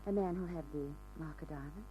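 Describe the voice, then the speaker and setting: A man's voice: on the high side, fairly quick, warm tone, natural voice. A performer playing a cool, self-possessed mystery woman in an old radio crime drama, teasing and in control. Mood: coolly teasing. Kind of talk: 1940s-50s radio drama acting. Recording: noisy old radio recording.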